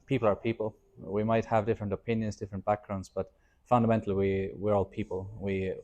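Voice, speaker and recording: Founder guest, male, 30s, soft dark timbre, measured and hesitant interview delivery. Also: fairly low pitch, little pitch movement. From Estonia. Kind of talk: reflective interview answers.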